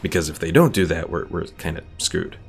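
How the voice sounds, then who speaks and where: A man's voice: low-pitched, lively intonation, brisk, vocal fry, slight background noise. A man giving casual game commentary as a streamer, thinking aloud and reading game text out. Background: game music.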